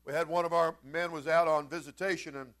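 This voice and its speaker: A man preaching a sermon as a pastor, folksy and informal, moving between conversational storytelling and emphatic, animated delivery. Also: on the high side, brisk. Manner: animated, swinging from quiet conversational asides to loud emphatic shouts. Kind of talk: Pentecostal-style sermon with storytelling.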